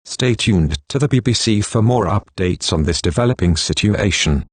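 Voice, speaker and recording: A man's voice: fairly low pitch, animated, normal speed, husky. A man voicing a stern government announcer, reading a scripted emergency alert in a formal, impersonal, authoritative manner.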